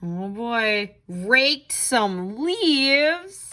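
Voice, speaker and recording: A woman's voice: on the high side, big pitch swings, drawn-out words, pushed and bright. A woman tutor reading to children in a sing-song storytelling style, with chatty asides. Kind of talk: expressive read-aloud and craft lesson for children.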